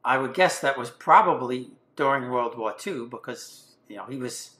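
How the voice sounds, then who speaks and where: A man in his seventies hosting an interview, asking questions in a relaxed, chatty way, with plenty of fillers and restarts. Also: mid-range voice, moderate pace, roomy sound. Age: 70s. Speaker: podcast host, interviewer. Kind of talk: casual interview.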